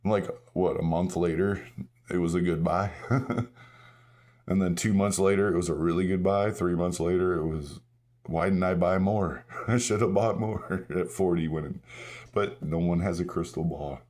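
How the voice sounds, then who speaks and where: A man with a hoarse, half-lost voice, chatting casually and rambling through a collector's show-and-tell vlog. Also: deep voice, fairly even intonation, moderate pace, darkish tone. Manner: rambling, self-correcting, chuckles at himself. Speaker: coin-collecting vlogger.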